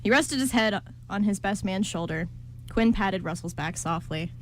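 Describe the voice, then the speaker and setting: A woman's voice: mid-range voice, expressive, moderate pace. A woman reading scripted story narration for an amateur radio play. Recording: clearly noisy amateur recording.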